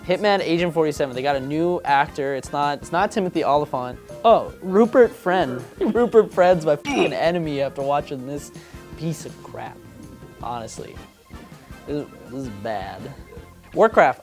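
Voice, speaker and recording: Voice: medium pitch, darkish tone. A reviewer giving casual off-the-cuff verdicts in a joking, sweary, exasperated way.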